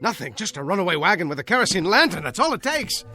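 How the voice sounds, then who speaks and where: A man's voice: sits high, big pitch swings, fast, bright, forceful tone, heavily acted. An actor playing a troubled, desperate young man with an urgent, intense manner, in old-time radio drama style. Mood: brooding, tense. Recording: music behind, vintage radio drama.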